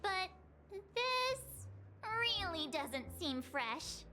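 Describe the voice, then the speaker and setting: A woman playing an expressive, bubbly but wary young girl in a fantasy video game. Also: very high-pitched, extremely slow, exaggerated voice. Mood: curious, wary.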